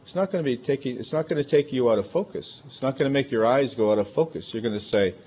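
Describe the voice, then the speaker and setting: Husky guy preaching loud and chatty, kinda dull lo-fi sound. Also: medium pitch, fast talker. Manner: loud, emphatic, chatty with asides. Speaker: pastor. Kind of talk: conversational sermon. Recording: dull lo-fi recording.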